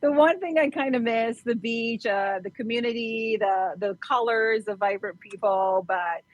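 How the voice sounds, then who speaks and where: A woman's voice: fairly high pitch, normal speed, no room sound, rough recording. A woman from Barbados, a fitness trainer, telling her personal story casually as a podcast guest.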